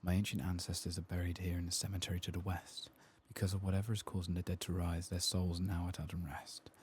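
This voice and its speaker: A man doing soft-spoken ASMR game commentary, gentle and unhurried, often slipping into a whisper close to the microphone. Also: sits low, flat delivery, a little hiss or hum. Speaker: gaming ASMR streamer.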